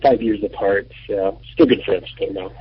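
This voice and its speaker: A man in his thirties, a band member and producer, answering radio interview questions in a relaxed, rambling way with many fillers. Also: on the low side, moderate pace, slight background noise. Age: 30s. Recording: muffled lo-fi radio recording.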